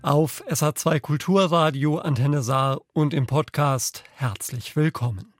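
A man's voice: fairly high pitch, measured pace, dry recording, clean studio sound, faint music behind. A man hosting a radio programme, presenting scripted introductions. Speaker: radio host.

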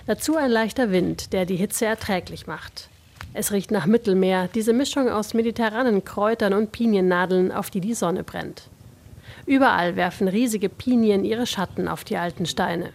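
A woman reads a scripted radio feature as a reporter. Her voice sits at a middle pitch and has a noticeable creak in it. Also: normal speed, a little mellow.

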